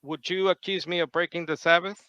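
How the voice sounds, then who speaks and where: Bright, crisp-voiced male debater. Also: high-pitched, steady tone, dry recording, dull audio. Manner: measured, probing questions. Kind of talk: debate cross-examination.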